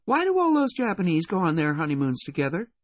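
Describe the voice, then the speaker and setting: A man's voice: high voice, huge pitch swings, quick pace, no room sound, highs cut off, pitched above the performer's own voice. A man voicing a sulky, bored rich teenage girl who blurts things out, in an audiobook story. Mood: chatty, blunt. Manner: disgruntled, blurting.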